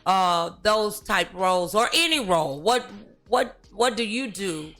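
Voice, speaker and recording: A woman hosting a talk show, chatting in a familiar, colloquial and halting way with exclamations. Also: medium pitch, expressive, sharp tone, soft background music. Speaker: talk show host interviewing a guest. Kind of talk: casual, familiar interview chat.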